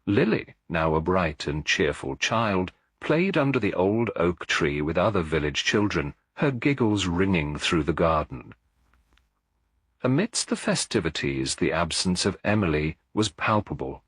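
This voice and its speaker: A man reading a scripted story as an audiobook narrator, in a measured, literary manner. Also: low voice, big pitch swings, a bit bright, breathy edge, no echo, studio quality.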